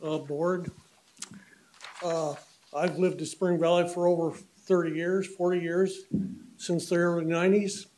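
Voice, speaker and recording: An older man speaking briefly at a podium microphone in a meeting room, halting and unsure with many uhs. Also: high-pitched, level tone, darkish tone, poor audio. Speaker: candidate for community association board. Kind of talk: public comment speech.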